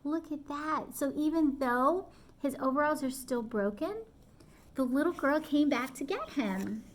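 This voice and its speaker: Slow, high-voiced woman reading a storybook. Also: slight background noise. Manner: expressive, explaining to children with questions. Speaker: storytime reader. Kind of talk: reading a picture book aloud to young children, with asides. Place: small room at home, indoors.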